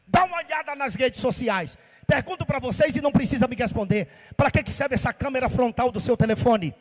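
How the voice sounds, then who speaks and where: A man's voice: very high-pitched, animated, fast. A man from Bahia, Brazil, preaching a sermon in a sing-song cadence, firing rhetorical questions at the congregation. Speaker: pastor. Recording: long hall reverb.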